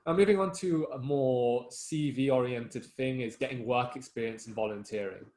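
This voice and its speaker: A young man talks earnestly to school pupils, often restarting his sentences and filling pauses with "um". His voice sits in a middle register. The recording carries a noticeable room echo. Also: medium pitch, darkish tone. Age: young adult. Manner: earnest, many fillers and restarts. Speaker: student giving advice talk. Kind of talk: informal talk to school students.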